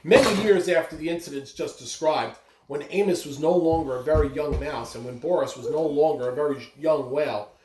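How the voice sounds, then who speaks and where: A reader giving an expressive read-aloud of a children's story. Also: medium pitch, brisk, crowd noise. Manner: expressive, with brief asides to listeners. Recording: roomy echo, clear background noise.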